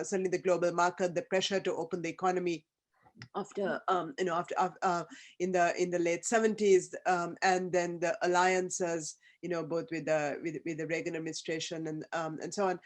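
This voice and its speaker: A person in their fifties from Sri Lanka, giving a reflective panel talk over a video call, halting and thoughtful with many fillers and restarts. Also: medium pitch. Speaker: academic panelist.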